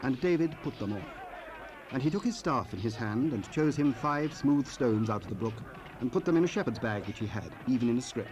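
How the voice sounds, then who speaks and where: A man narrating scripture in a formal, solemn and measured way. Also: medium pitch, lively intonation, a little mellow. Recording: faintly noisy cassette rip.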